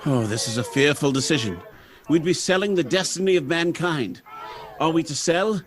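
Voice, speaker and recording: A man's voice: on the high side, expressive, normal speed, natural voice. A man playing a troubled, grave factory director and husband in a dramatic 1930s-style radio play.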